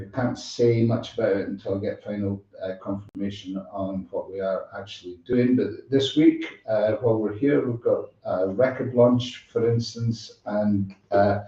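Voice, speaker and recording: A man hosting a music show live, chatting casually in a rambling, halting way. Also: on the low side, mellow. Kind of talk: casual live sign-off chat. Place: room with echo, away from the mic. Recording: roomy, distant, dull-sounding microphone.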